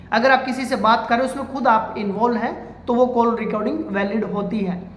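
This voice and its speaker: A man's voice: very high-pitched, a bit bright. A man speaking as an advocate, giving an informal legal explainer step by step and addressing viewers as friends. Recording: echoey room with long reverb.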